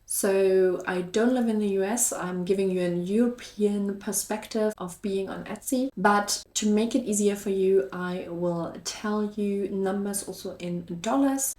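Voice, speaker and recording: A woman's voice: mid-range voice, a little mellow. Woman, German accent, mid-range voice, upbeat and encouraging, chatty vlog delivery, echoey room sound. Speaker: YouTube host and online shop seller. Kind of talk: casual vlog talk to viewers.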